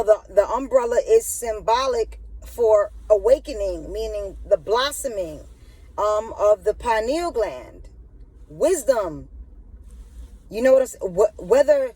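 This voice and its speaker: A woman's voice: a little high, dark tone, no room sound, low-quality recording. Stuttering woman with a dry close sound. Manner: stuttering, repeats filler phrases. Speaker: channel host. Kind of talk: spiritual conspiracy monologue.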